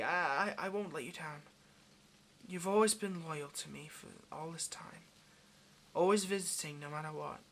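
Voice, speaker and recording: A man's voice: high voice, fairly bright tone, slight background noise. A man playing a lonely, clingy yandere demon in an intimate audio roleplay, speaking tenderly and hesitantly straight to the listener with obsessive devotion. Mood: tender, elated.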